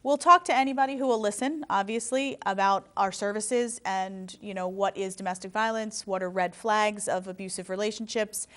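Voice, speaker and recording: A woman's voice: medium pitch, moderate pace, few pauses, fairly bright tone. A woman guest on a talk show, earnestly explaining a domestic violence program's services. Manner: earnest, informative, some restarts. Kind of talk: interview answers.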